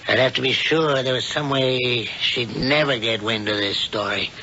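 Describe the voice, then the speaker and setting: A man's voice: medium pitch, fairly even intonation, normal speed, bright, forceful tone. An actor playing a crafty, domineering old witch who poses as a kindly uncle, bossy and conspiratorial, in radio drama style. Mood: worried, sinister. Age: older. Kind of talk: 1970s radio drama acting. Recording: dull noisy old radio recording.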